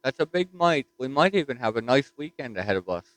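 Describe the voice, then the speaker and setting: A man with a Newfoundland accent chatting casually as a ham radio operator from his home station, relaxed and easygoing. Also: mid-range voice, fairly quick, no room sound, low-quality recording. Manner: easygoing, says "roger that".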